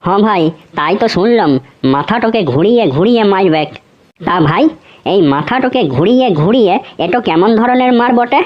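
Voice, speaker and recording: A woman's voice: medium pitch, animated, a little fast, lo-fi sound, natural voice. A woman voicing a smug, mocking schoolboy in a comedy cartoon, taunting in rustic slang. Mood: puzzled.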